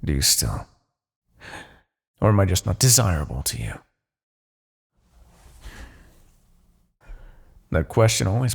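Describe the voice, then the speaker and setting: A man's voice: on the low side, gravelly, husky, full character voice. A man playing a smug, possessive demon king in an ASMR roleplay, speaking with haughty, theatrical formality. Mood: curious, wounded pride. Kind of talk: ASMR roleplay, dramatic character acting.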